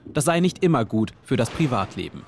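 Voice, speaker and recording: A man narrating a television documentary in calm, even scripted voice-over. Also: medium pitch, brisk, vocal fry, slight background noise.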